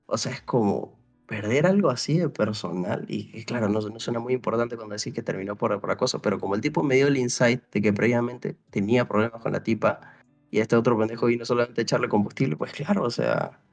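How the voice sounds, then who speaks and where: A young man from Paraguay with a gravelly voice. His pitch is ordinary for a man. He talks loosely and rambles, circles back with "o sea", and acts out what people said to him. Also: expressive, brisk, faint music behind. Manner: rambling, full of restarts and "o sea", re-enacts dialogue. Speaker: interview guest. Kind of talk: casual storytelling chat.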